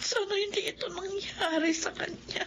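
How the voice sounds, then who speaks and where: A woman's voice: extremely high pitch, steady tone, moderate pace, creaky, fairly bright tone, a little hiss or hum, full character voice. An actress playing a grieving, ailing mother who blames herself, speaking in a tearful, pained way in a radio drama. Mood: anguished. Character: grieving, self-blaming ailing blind mother. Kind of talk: Tagalog radio drama acting. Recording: muffled radio drama with music underneath.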